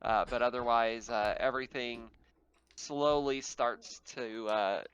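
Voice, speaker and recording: A man acting as game master, narrating and refereeing a tabletop roleplaying game in halting phrases. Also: mid-range voice, steady tone, a bit bright, highs cut off, slight background noise.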